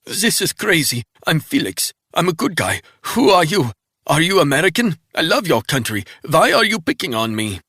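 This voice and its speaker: A man's voice: mid-range voice, expressive, normal speed, fairly bright tone, no room sound, pitched above the performer's own voice. A man voicing a panicked middle-aged male spy who pleads and then sneers at his captor, in a dry, close audiobook reading. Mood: pleading.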